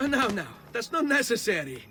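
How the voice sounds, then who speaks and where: A man's voice: very high voice, big pitch swings, moderate pace, bright, forceful tone, exaggerated voice. An actor playing a cocky, charming young Italian nobleman in a video game cutscene, with an Italian accent. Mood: flustered. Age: young adult.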